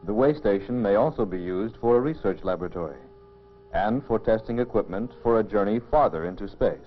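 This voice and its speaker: A man giving measured, explanatory scripted narration for a mid-century educational film, on a dull old film soundtrack. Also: lowish voice, lively intonation, faint music behind.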